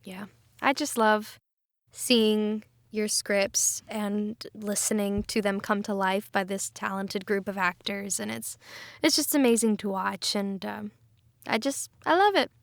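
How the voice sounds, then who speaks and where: A woman's voice: mid-range voice, steady tone, measured pace, gravelly, no room sound, clean studio sound. A woman chatting casually in a cast discussion, polite and appreciative in the way she talks. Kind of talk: casual chat.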